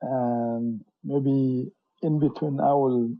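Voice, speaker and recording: A middle-aged man from Cameroon, a professor and interview guest, telling his story in a measured way, full of "you know". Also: mid-range voice, fairly even intonation. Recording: dull lo-fi sound.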